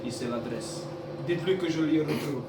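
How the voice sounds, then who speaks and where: A solemn male caller speaks low and deadpan, as a man puts him on. He leaves his message flatly. The room echoes around him and he sounds off-mic. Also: normal speed, distant mic, background noise, lightly acted.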